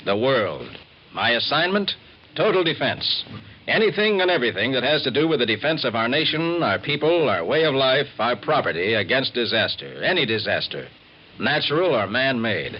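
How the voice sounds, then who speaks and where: Man acting an emphatic 1950s radio newsman, animated. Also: mid-range voice, a little hiss or hum, natural voice. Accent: American. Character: earnest, driven male roving newspaper reporter. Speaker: reporter and narrator. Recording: dull vintage radio recording, music behind.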